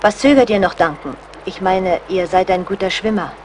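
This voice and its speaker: Brave young lady played by a woman, mid-pitched, resolute and pleading, radio drama with faint background noise. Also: normal speed, lightly acted. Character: brave, self-sacrificing young woman, colonel's daughter. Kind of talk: German radio play acting.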